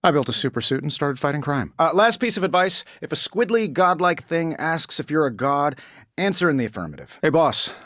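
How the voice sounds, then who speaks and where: An actor playing a witty, cocky billionaire inventor superhero in a video game, with a glib, sardonic, conversational delivery. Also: male voice, fairly high pitch, animated, fairly quick, fairly bright tone, no room sound, clean studio sound. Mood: playful, boastful. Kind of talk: video game character voice lines.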